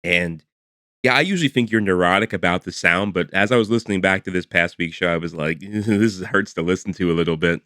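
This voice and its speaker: A man's voice: sits low, a little fast, bright, forceful tone, dry recording, studio quality. A man in his forties co-hosting a podcast from home, teasing his partner in a wry, loose way and swearing freely. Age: 40s. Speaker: podcast co-host. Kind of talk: casual chat. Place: home over an internet call.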